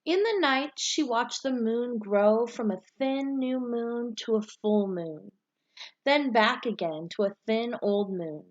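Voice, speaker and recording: A woman teacher reading a storybook aloud to pupils and giving them instructions in a clear way suited to children. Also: fairly high pitch, slow, dull audio.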